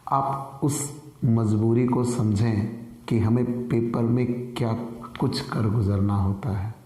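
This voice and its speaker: A man with a sore, hoarse throat, teaching an online commerce lecture in an earnest manner and calling viewers dear friends. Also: fairly low pitch, steady tone, takes it slowly, warm tone, echoey room, in a large hall. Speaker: commerce tutor.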